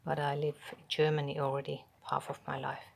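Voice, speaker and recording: A Finnish woman with a Finnish accent, whose first language is Finnish, quietly showing a handmade journal page by page, half-whispering with pauses and ums. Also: low-pitched, completely flat delivery, creaky. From Finland. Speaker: craft channel host. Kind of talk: soft show-and-tell of a handmade journal.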